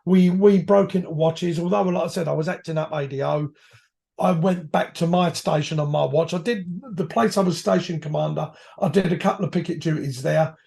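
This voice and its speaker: A man, hoarse from a cold, a guest reminiscing about his years as a firefighter in rambling, self-correcting talk. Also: fairly high pitch, a little mellow. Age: 50s. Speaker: podcast guest, retired firefighter. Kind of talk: reflective conversational storytelling.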